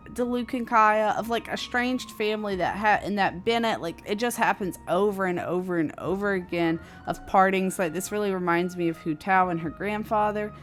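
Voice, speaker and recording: A woman's voice: medium pitch, moderate pace, few pauses. A young woman streams a game with casual running commentary. Her mid-range voice is chatty and reactive, full of slang and swearing, with light music underneath.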